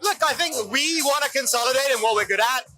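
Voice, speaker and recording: Brief: a man as confident business head, high voice, music clearly behind. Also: fast. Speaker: head of a London office. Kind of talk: business interview.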